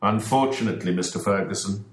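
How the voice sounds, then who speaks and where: A man reading an audiobook voices a calm, reserved detective in his own measured voice. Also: lowish voice, a little hiss or hum, lightly acted. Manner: measured, grave. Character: calm older male detective, reserved and precise. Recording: roomy echo.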